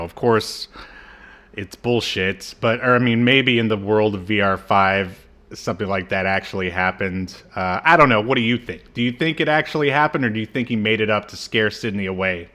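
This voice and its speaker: Low voiced guy on a podcast just recapping tv stuff, jokey and irreverent. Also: normal speed, bright, forceful tone. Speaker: podcast host. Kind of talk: casual recap chat about an old TV show.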